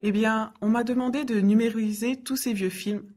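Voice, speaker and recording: A woman reading scripted everyday dialogues for a French lesson in a clear, careful way. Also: fairly high pitch, steady tone, normal speed, a little mellow. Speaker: dialogue reader for French learners.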